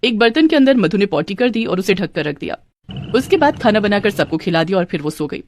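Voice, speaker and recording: A woman's voice: medium pitch, sing-song, fairly quick, darkish tone, a little hiss or hum. Female narrator for cartoon moral story, scripted read, mid-range voice.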